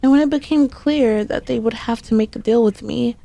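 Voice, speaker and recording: A woman's voice: a little high, fairly quick, vocal fry, dark tone, no echo. A woman playing a sassy, sneaky, possessive centuries-old female demon in an ASMR roleplay, speaking in a haughty, teasing, formally old-fashioned way. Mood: smug, amused. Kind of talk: ASMR roleplay, scripted character acting.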